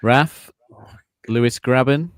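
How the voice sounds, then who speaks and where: A man's voice: mid-range voice, smooth, dry recording, studio quality. A man hosting a casual podcast quiz, teasing the players and drawing out his reveals. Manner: teasing, playful, drawn-out reveals. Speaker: quiz host.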